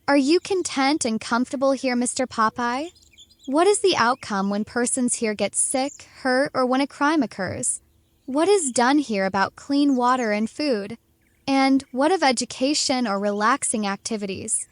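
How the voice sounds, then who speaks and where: A woman's voice: high-pitched, lively intonation, moderate pace, no room sound. A woman playing a naive, curious young stranger from a hidden world, formal and stilted, in a dramatized comic. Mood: earnest, inquiring.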